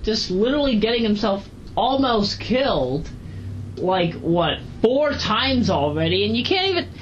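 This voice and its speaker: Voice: mid-range voice, big pitch swings, measured pace. Muffled, rambling amateur reviewer of unclear gender. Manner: rambling, repeats and corrects themself. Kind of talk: casual rambling audio log review. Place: at home, moving between kitchen and bedroom. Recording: muffled, noisy home audio with fan. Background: fan running.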